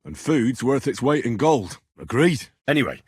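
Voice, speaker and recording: Young British medieval game hero: husky, down-to-earth, earnest servant lad, very dry sound. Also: male voice, medium pitch, sing-song, moderate pace, no echo. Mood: frank, down-to-earth. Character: plain-spoken young male medieval servant and adventurer. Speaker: video game voice actor. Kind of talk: scripted game character dialogue.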